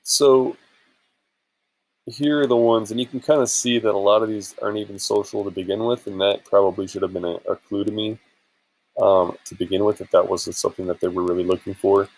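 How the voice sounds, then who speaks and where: A man's voice: fairly low pitch, fairly even intonation, brisk, darkish tone, poor audio. A man chatting casually in an informal, rambling and hesitant way for a screen-share vlog, sounding a bit tired. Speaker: vlogger and affiliate marketer.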